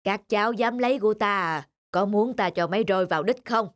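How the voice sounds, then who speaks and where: Woman voicing a stern old grandfather bear, mid-pitched. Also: background noise, close to an everyday voice. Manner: stern, measured, admonishing. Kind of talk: Vietnamese children's cartoon dubbing.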